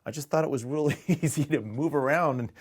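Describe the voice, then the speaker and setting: A man's voice: a little high, fairly quick. A middle-aged American man giving a casual, unscripted product demonstration in a chatty, rambling, conversational way. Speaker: music store product presenter.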